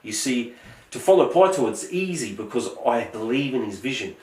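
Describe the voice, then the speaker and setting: A man, giving a prepared, earnest speech in a measured, emphatic way. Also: medium pitch, expressive, a little mellow, echoey room, far from the mic. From Australia. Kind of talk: prepared motivational speech with scripture quotes.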